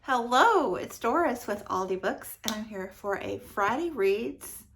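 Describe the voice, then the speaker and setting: A woman's voice: on the high side, lively intonation, measured pace, fairly bright tone, a little hiss or hum. A woman giving a casual, effusive book review as a vlogger, often repeating words for emphasis.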